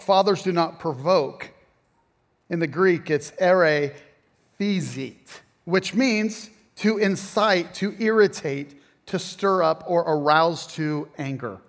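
A man's voice: fairly high pitch, slow. A middle-aged man preaching a conversational sermon, casual and self-deprecating with joking asides.

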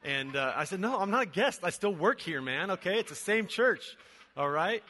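A man's voice: high-pitched, lively intonation, moderate pace, sharp tone. A man in his forties preaching a casual, joking church sermon full of fillers, heard in a large church auditorium. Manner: chatty and joking, many fillers. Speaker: venue director and preacher. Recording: long hall reverb.

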